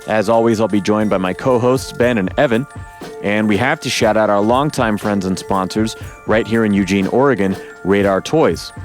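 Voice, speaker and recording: A man hosting a podcast in a casual, upbeat and jokey way, his voice a little hoarse. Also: fairly low pitch, moderate pace, soft background music. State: slightly hoarse. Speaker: podcast host.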